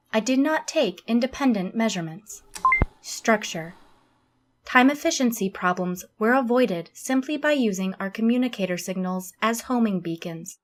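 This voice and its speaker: A woman playing a literal, humorless alien Starfleet officer in an audio drama, speaking formally and exactly with a deadpan manner. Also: mid-range voice, close to an everyday voice. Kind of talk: scripted audio drama log and interview.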